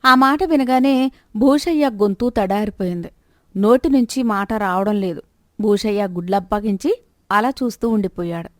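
A woman's voice: mid-range voice, normal speed, no room sound, studio quality. A woman narrating a scripted moral story.

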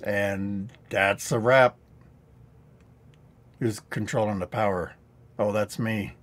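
Hoarse older man teaching DIY live. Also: lowish voice, fairly even intonation, takes it slowly. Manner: dry-humored, rambling how-to talk. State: getting hoarse. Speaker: DIY channel host. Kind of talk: live-stream teaching chat. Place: indoor studio set. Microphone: headset.

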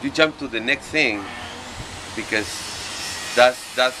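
A middle-aged man from Peru with a Spanish accent, talking casually in halting non-native English as an interviewed painter. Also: mid-range voice. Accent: Peruvian Spanish. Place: outdoors on a porch. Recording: outdoor street noise.

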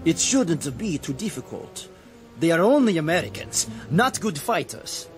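An obedient army sergeant in a radio drama, respectful to superiors and scornful of the foe. The voice is high for a man and very lively in pitch. Faint music sits behind it. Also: measured pace. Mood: matter-of-fact, scornful. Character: dutiful army sergeant, deferential to officers, scornful of enemy.

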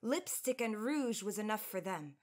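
A woman's voice: medium pitch, lively intonation, moderate pace, a little mellow, lightly acted. An actress playing an earnest, proud young college woman of the 1910s with formal, careful elocution in a radio drama. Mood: proud, resolute. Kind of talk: period radio drama acting.

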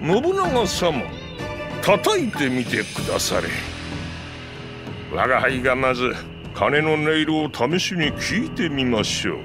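A man's voice: medium pitch, huge pitch swings, slow pace, over music. A man voicing a scheming, volatile middle-aged warlord villain in a Japanese video game, melodramatic, swinging from sly muttering to shrieks. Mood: falsely courteous.

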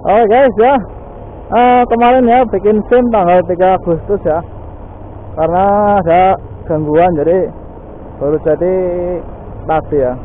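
A man's voice: sits high, unhurried, pauses often. A man chatting casually while riding a motorbike through city streets, filling his talk with "ya" and "guys". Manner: chatty, lots of "ya" and "guys" fillers. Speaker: motovlog host. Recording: tinny, phone-like with faint traffic noise.

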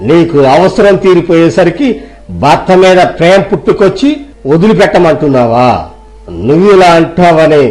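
Man acting a firm, high-voiced village boss. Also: darkish tone, poor audio. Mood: stern. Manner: argumentative, insistent. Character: firm, self-righteous village employer justifying himself. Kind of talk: dramatised moral story.

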